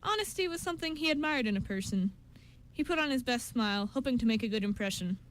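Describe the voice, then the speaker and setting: A woman's voice: on the high side, lively intonation, fast talker. A woman reading scripted story narration as the narrator of a radio drama.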